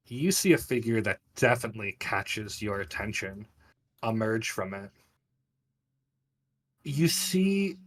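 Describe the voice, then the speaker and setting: A man narrating a tabletop fantasy game as game master, describing scenes for his players. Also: mid-range voice.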